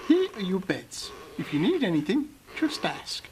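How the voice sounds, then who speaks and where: A woman's voice: mid-range voice, huge pitch swings, normal speed, a little mellow, slight background noise. A woman playing a wicked, greedy old witch in a fairy-tale radio drama, curt and commanding. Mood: sly, welcoming.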